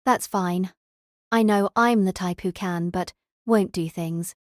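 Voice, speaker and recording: A reader doing a deadpan, emotionless young woman. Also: medium pitch, slow, no echo, studio quality, close to an everyday voice. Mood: cool. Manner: flat and emotionless.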